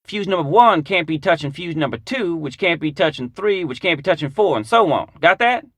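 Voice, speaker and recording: An actor playing a folksy, drawling mechanic with a Southern US accent in a video game. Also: male voice, a little high, expressive, a little fast, fairly bright tone, no echo. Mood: instructive. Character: folksy drawling male mechanic, gruff but friendly. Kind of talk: video game character dialogue.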